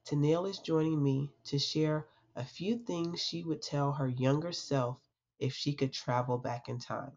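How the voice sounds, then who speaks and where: A woman's voice: a bass voice, darkish tone. Chatty woman podcast host, lo-fi sound. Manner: friendly and chatty, with an upbeat welcome. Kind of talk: casual interview chat. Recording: dull, lo-fi podcast audio.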